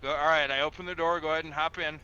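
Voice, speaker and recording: A man playing a blunt law officer in an online game roleplay, deadpan and commanding with dry sarcasm. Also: on the high side, very fast. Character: blunt, dryly needling male law officer. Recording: muffled game voice chat, faint noise.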